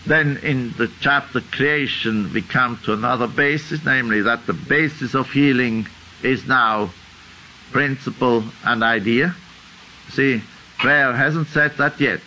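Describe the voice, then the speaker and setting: A man's voice: medium pitch, unhurried, sharp tone, a little hiss or hum, low-quality recording. An older man with a Swiss accent giving an unscripted lecture, thinking aloud and tagging points with a questioning "huh?". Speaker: teacher.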